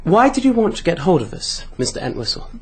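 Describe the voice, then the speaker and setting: An actor playing an irritable young husband in a radio drama, speaking curtly with an English accent. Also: male voice, a little high, very animated, fast talker, husky. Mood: guarded.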